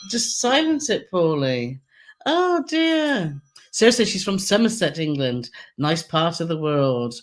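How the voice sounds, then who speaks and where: A woman with a hoarse, croaky throat co-hosting a casual live Q&A, chatty and giggly as she reads out viewer comments. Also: on the low side, big pitch swings, a little slow. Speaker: co-host of a travel channel live stream.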